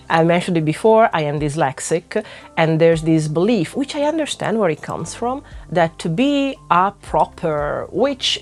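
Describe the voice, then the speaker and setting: Candid Italian woman vlogging. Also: fairly low pitch. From northwest Italy. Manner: candid and self-deprecating, with frequent restarts and laughs. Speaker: witchcraft YouTuber. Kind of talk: casual vlog talk to camera.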